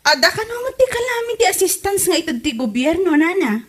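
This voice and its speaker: Woman acting an eager high-voiced Ilocano neighbour. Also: sing-song, a little fast. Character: eager, reassuring village neighbour bearing news. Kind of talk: Ilocano comedy drama podcast acting.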